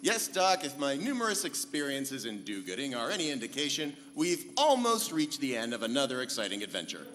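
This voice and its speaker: Casting: earnest pulp space hero, declamatory, sweeping lively pitch, recorded live in an echoing hall. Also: male voice, a little fast, hardly any pauses, slight background noise. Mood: self-satisfied. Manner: declamatory, formal diction. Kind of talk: 1940s pulp serial radio comedy acting.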